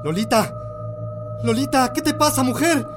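An actor playing an anxious village man who guides a priest up a hill, speaking in hushed, urgent tones. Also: very high-pitched, lively intonation, moderate pace, music in the background. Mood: pleading. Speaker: Gabriel, a villager. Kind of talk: radio drama acting.

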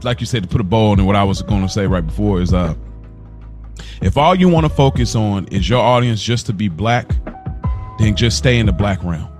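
Man, podcast co-host, casual chat, earnest, low voice, soft music behind. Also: expressive, a little fast. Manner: earnest, repeats himself.

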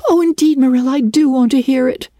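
Woman acting an eager, gushing, high-voiced schoolgirl. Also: fast, dark tone, dry recording, clean studio sound, natural voice. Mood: pleading, contrite. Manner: gushing, dramatic, effusive. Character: eager, talkative, earnest orphan schoolgirl. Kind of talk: dramatic audiobook reading of a classic novel.

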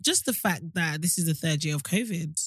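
A woman's voice: sits low, animated, a little fast, breathy edge, no echo, clean studio sound. A woman in her late twenties co-hosting a podcast in a casual, chatty style. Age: 20s. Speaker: podcast co-host. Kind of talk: casual group chat.

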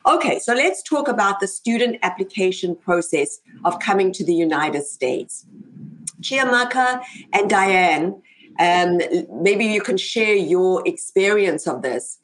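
A woman's voice: mid-range voice, animated, a little slow, poor audio. A woman hosting an interview show, introducing topics and putting questions to guests. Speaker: host.

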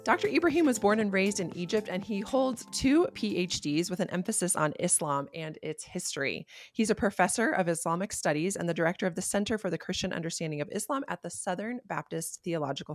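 A woman's voice: medium pitch, no room sound, clean studio sound. A woman hosting a faith podcast, giving an earnest, reflective intro and then interviewing her guest. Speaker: podcast host.

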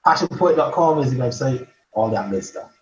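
A man's voice: mid-range voice, animated, moderate pace. A man from Barbados with a Bajan accent, chatting casually and playfully as a guest on a remote podcast. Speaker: podcast guest, poet and author. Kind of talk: casual interview chat. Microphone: remote virtual podcast call. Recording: roomy, dull online call, faint noise.

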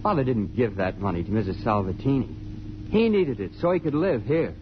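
An actor playing an earnest young son, halting and urgent as he confides in a 1950s radio drama. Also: mid-range voice, lively intonation, close to an everyday voice. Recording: muffled, noisy old radio broadcast.

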